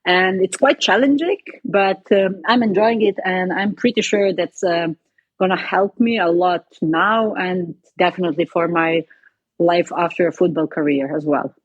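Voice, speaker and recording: Young woman, Serbian accent, casual interview guest; husky tone, dry slightly dull sound. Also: fairly low pitch, moderate pace, no room sound. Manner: chatty, frequent "you know" and "like" fillers. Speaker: guest, footballer and coffee entrepreneur.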